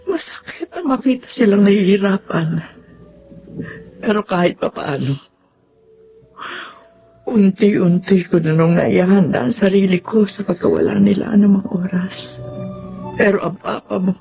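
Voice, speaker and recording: A woman acting a devoted middle-aged wife and mother in a melodramatic radio drama, with an emotive, drawn-out delivery. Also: medium pitch, creaky, mellow, highs cut off, faint music behind. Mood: grieving, subdued.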